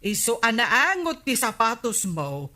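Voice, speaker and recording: A woman's voice: a little high, very animated, a little slow, pushed and bright, full character voice. A woman with an Ilocano Filipino accent playing a scolding, unimpressed woman of the household in a radio comedy. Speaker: comedy drama actor.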